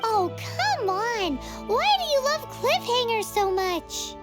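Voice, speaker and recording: Tiny squeaky game fairy girl, super high childish voice, excitable n cheeky, music behind. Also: takes it slowly, full character voice. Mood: curious, impatient. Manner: speaks of self in third person, exclamatory. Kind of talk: video game character acting.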